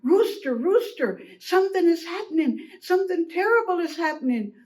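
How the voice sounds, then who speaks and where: A woman's voice: very high-pitched, animated, normal speed, a little mellow, voice pushed up. A woman voicing an alarmed female hen in a children's story, exclaiming with dramatic urgency. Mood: urgent.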